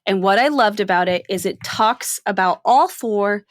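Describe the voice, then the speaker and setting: Female co-host, medium pitch, dry studio-close sound, conversational and explanatory, tags points with "right?". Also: moderate pace, dry recording. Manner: explanatory, often adds "right?" and "like". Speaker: podcast co-host. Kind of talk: casual film discussion.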